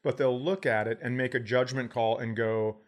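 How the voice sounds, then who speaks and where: A man's voice: medium pitch, fairly even intonation, normal speed. Sweary man telling casual comic stories. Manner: sweary, sarcastic ranting. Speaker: comedy podcast host. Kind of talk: casual comedic storytelling.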